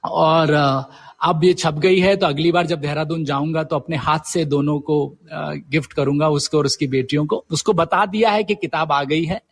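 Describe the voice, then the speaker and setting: A man's voice: a little high, fairly even intonation, moderate pace. A middle-aged man answering as a guest writer in a conversational interview, hesitantly searching for his words.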